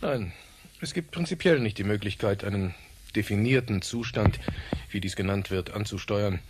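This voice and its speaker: A man playing a scientist who leads an experiment, explaining in a measured, formal way in a radio drama. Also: fairly low pitch, lightly acted. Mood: measured, explanatory. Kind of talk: German radio drama acting. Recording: clear background noise.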